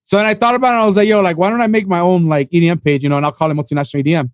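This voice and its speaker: A man's voice: sits high, fairly even intonation, racing, smooth, no room sound, dull audio. A man in his twenties from Miami, a podcast guest rambling through his story in casual chat, full of "you know" and "bro". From Miami, USA. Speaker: podcast guest, event organizer.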